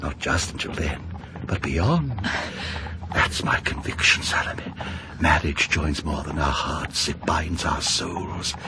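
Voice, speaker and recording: Deep, pompous, intense husband. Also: male voice, gravelly, very noisy, exaggerated voice. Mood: fervent. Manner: pompous, solemn, deliberate. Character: formal, intense middle-aged husband, obsessive and domineering. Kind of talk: radio drama acting.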